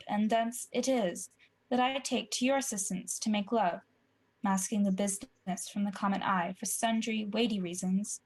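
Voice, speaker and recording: A woman's voice: on the high side, close to an everyday voice. A young woman acting an ambitious, guilty usurper king in a Shakespeare radio play. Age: young adult. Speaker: student actor.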